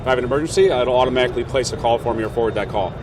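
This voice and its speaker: Man, mid-pitched voice, company rep, conversational step-by-step demo, noisy trade show floor. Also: racing. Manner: conversational, checks in with "right?". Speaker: company representative giving a product demonstration. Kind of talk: informal sales demo, explaining step by step. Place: trade show exhibition hall.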